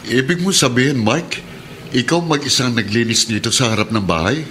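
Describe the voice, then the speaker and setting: An actor playing a kind, patient police sergeant and husband in a Filipino radio drama, earnest and pleading in manner. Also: male voice, mid-range voice, brisk, sharp tone, soft background music, lightly acted. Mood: gentle.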